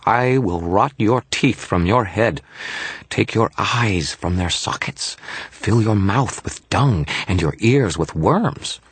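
A man voicing a tiny, childlike male fairy with a high little-kid voice, haughty, greedy and full of indignant complaint in an audiobook story. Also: animated, takes it slowly, husky, dry recording, exaggerated voice. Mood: haughty, threatening. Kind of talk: audiobook character voice.

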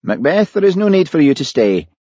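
A man's voice: fairly high pitch, very animated, fairly quick, mellow, dry recording, highs cut off, pitched above the performer's own voice. A man playing a pompous, fussy, easily flustered senior police chief in an audiobook. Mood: stiff. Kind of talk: audiobook character voice.

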